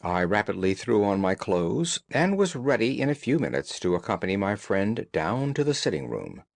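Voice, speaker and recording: A man reading scripted storybook narration as an audiobook narrator, in an expressive way. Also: lowish voice, moderate pace, vocal fry, no echo, clean studio sound.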